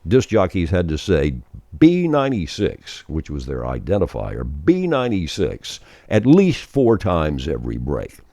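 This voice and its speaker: Older male radio veteran, crackly and expressive, dry close sound, emphatic folksy explainer. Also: low-pitched, measured pace, no echo. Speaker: veteran radio programmer and former disc jockey presenting a series. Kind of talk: informal instructional monologue.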